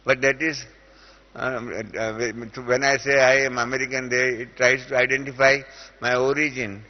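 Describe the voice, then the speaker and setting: A man's voice: medium pitch, little pitch movement, normal speed. A middle-aged man with an Indian accent, teaching a spiritual class and explaining through everyday examples, with many hesitant "uh" fillers. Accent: Indian English. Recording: dull lo-fi audio with long reverb in a hall.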